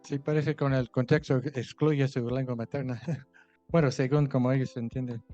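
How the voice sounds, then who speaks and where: A man's voice: mid-range voice, fairly even intonation, moderate pace, warm tone, muffled recording, soft background music. A man leading a Bible translation workshop, explaining patiently, restarting words and asking the group for feedback. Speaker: translation consultant leading a session.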